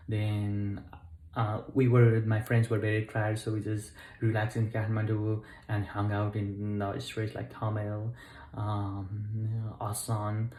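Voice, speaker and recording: Young guy from nepal vlogging, lowish flat voice, lots of uhs and restarts, echoey room. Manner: halting, many fillers and self-corrections. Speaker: travel vlogger. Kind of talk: unscripted talk to camera. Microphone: camera mic.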